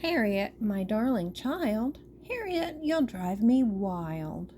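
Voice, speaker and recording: A woman voicing a loving but exasperated storybook mother, speaking in a drawn-out, restrained singsong. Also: medium pitch, big pitch swings, warm tone, slight background noise, voice pushed up. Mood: restrained exasperation. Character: loving, exasperated mother of a pesky small child.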